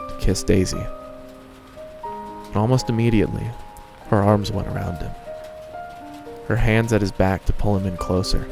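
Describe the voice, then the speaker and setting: A man's voice: fairly low pitch, vocal fry. A man reading scripted fan fiction narration as the storyteller.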